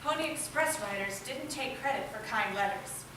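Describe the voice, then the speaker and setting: Echoey, higher-voiced woman telling a story. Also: expressive, normal speed. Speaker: narrator in a readers' theatre group. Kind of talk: scripted narration, storytelling. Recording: echoey hall, distant mic, loud noise.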